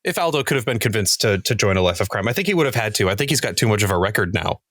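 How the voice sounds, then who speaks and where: A man's voice: on the low side, expressive, racing, a bit bright, no room sound. Thoughtful man chatting, dry close sound. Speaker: podcast guest, cast member of a role-playing show. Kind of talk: casual podcast chat.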